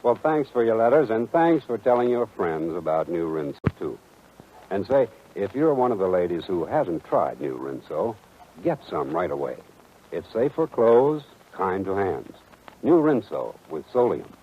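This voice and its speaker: Low-voiced, animated 1940s radio ad man. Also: moderate pace. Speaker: radio commercial announcer. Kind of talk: 1940s scripted advertising pitch. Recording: dull, noisy 1940s radio recording.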